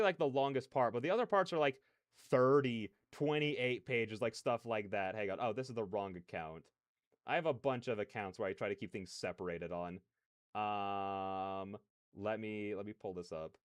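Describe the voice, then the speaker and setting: A man with a smooth, clear voice talks casually to his livestream. He rambles in a scattered, self-interrupting way and drops in playful nonsense sounds as filler. The sound is dry and close. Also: fairly low pitch, normal speed, no echo. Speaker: livestreamer, host. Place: large, fairly empty room with soundproofing panels.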